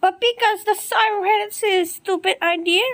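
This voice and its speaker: A woman voicing dialogue for an amateur animated story in a dramatic, exclamatory way. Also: very high-pitched, moderate pace.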